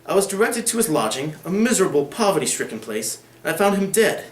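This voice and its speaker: Casual: guy doing a cool old lawyer, slow and steady, a bit high, echoey room. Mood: measured. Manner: measured, undisturbed calm. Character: calm, secretive older male lawyer.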